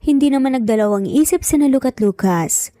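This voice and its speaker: A woman's voice: sits high, animated, a little fast, warm tone, no room sound. A woman reading scripted narration as the storyteller of a romance story.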